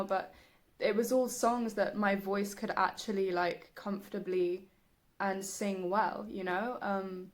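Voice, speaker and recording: Slow-paced, soft breathy young woman. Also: medium pitch. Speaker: singer, video creator. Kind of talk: reflective personal vlog monologue.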